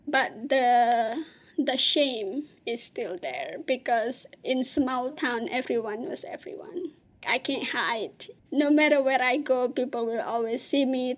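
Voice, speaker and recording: A woman from Indonesia with an Indonesian accent, giving personal testimony in a plain, halting way with many fillers. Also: fairly high pitch. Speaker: interviewee.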